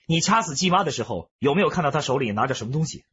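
Crime radio drama: authoritative detective, husky, expressive intonation, questioning manner. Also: male voice, on the high side, racing, lo-fi sound, heavily acted. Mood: probing. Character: probing, authoritative male police detective.

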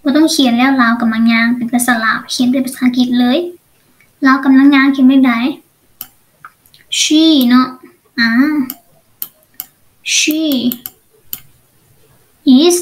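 A woman teacher with a Lao accent and a high voice gives an online English lesson. She speaks very slowly, going step by step and often checking with short questions that the learner follows.

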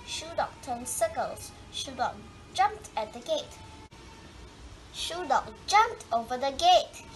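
High-voiced woman reading a storybook slowly. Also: very animated, soft background music. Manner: drawn-out words with dramatic emphasis. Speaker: storybook reader. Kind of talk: expressive read-aloud of a children's picture book. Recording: clearly noisy home recording.

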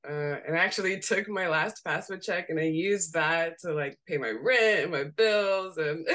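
A young woman from Seattle with a slightly raspy voice, chatting casually as a guest on a video call and laughing often as she talks. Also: lowish voice. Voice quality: raspy voice today. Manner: laughs often while talking, relaxed and candid. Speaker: guest, graphic designer. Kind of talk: casual interview chat. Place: home room.

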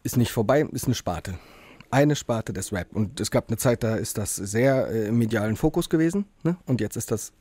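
Relaxed man with a Brandenburg twang. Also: fairly low pitch, normal speed, dry recording. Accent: Berlin-Brandenburg. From Brandenburg, Germany. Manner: relaxed, lots of fillers and repeated agreement. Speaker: musician guest. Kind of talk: casual radio interview.

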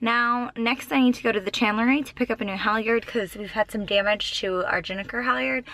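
A woman's voice: a little high, a little fast, rough recording. A woman in her thirties talking casually to a handheld camera as a vlog host. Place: outdoors in town, in a car, on a boat. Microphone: handheld camera close by. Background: music.

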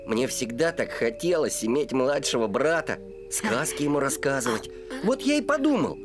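A friendly young neighbour from a children's cartoon, voiced by an actor. He sounds sincere and a little sheepish, with very animated pitch. Music is clearly audible behind him. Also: normal speed, natural voice. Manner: sincere, explanatory.

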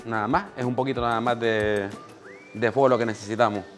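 A man's voice: mid-range voice, steady tone, fairly quick, faint music behind. A man, a chef teaching a cooking class in a small kitchen and guiding an assistant step by step. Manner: practical, directs an assistant at his side. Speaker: chef giving a cooking demonstration.

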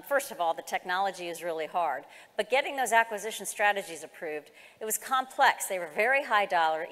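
A woman's voice: mid-range voice, a bit bright. American woman presenting in a reverberant hall. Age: middle-aged. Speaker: keynote speaker, government executive. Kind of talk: conference presentation, explanatory, semi-formal. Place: large conference hall, on stage.

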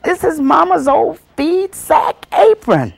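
Lady storyteller doing a mad scared aunt telling someone off, stern, husky n gravelly, firing questions. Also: medium pitch, measured pace, dark tone. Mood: angry disbelief. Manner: stern, exclaiming, hammering out questions. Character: scolding, frightened, angry aunt. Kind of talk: dramatic oral storytelling.

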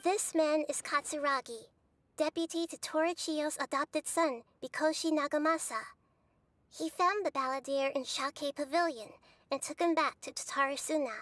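A woman voicing a wise young goddess girl in a video game, who tells of old memories in a calm, gentle way. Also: sits high, normal speed, no echo. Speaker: narrator of memories. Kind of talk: game voice acting.

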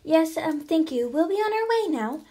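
A woman playing an excited new mother in an improvised toy role-play story. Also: extremely high pitch, normal speed, voice pushed up.

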